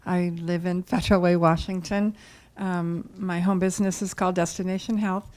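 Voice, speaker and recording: Woman from Seattle, lower pitch, enthusiastic testimony with fillers. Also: little pitch movement, normal speed, a little mellow. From Seattle area, United States. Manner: enthusiastic, lots of ums. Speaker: volunteer practitioner. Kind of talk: casual personal testimony.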